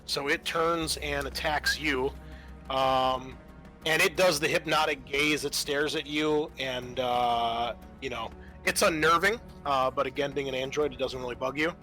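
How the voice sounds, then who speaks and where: A man works as game master for a tabletop horror game, his voice bright and forward. He talks informally, reasoning through rules aloud between bits of narration. Faint music sits behind him. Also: mid-range voice, steady tone, measured pace, low-quality recording.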